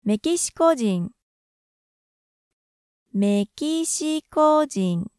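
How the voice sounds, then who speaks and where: A woman's voice: sits high, lively intonation, extremely slow, darkish tone, no echo, studio quality. A native Japanese woman giving short model pronunciations for a language lesson.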